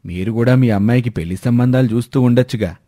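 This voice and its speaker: A man playing a wise, polite village elder in a dramatised storybook tale, speaking in a measured way. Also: mid-range voice, warm tone, dry recording, studio quality, close to an everyday voice. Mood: persuasive, courteous. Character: courteous, wise village elder, male, calm matchmaker.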